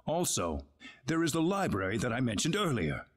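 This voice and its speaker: An actor playing a grave, courteous leader of an advanced civilization in a video game, speaking in formal, measured exposition. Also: male voice, medium pitch, huge pitch swings. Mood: measured, instructive. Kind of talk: dramatic video game dialogue.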